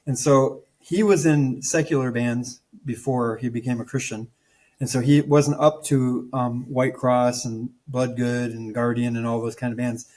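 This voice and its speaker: A man's voice: mid-range voice, fairly even intonation, unhurried, darkish tone. An older man from Michigan, raised partly in Florida, a band guitarist chatting casually as a guest and telling stories. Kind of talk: casual storytelling.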